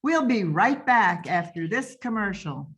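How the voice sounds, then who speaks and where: A woman's voice: medium pitch, animated, unhurried, fairly bright tone. A woman hosting a radio show with scripted, old-time announcing.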